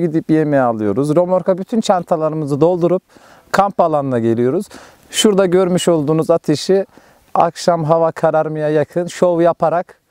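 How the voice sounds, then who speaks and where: A man's voice: a little high, dark tone, clean tone, no echo. A man talking casually to camera as a helper in a camping group. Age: adult. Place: outdoors at a campsite.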